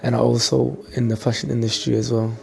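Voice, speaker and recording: A man's voice: mid-range voice, little pitch movement, brisk, creaky, warm tone. A man from Ghana who works as a host and hype man, introducing himself in an upbeat way.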